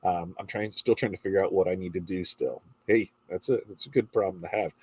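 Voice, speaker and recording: Stuffed-up middle-aged guy, really low husky voice, rambling chatty livestream host. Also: fairly even intonation, a little fast, a little mellow, no echo. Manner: chatty, rambling, asks viewers questions. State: congested. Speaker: livestream host reading viewer comments. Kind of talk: casual morning livestream chat.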